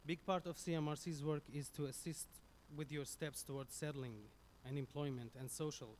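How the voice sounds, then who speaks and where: A man from Syria with a Syrian Arabic accent, Arabic his first language, giving an earnest, halting public speech into a stage microphone as a community speaker. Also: a little high, steady tone, a little mellow, slight background noise. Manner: earnest, halting, with many restarts.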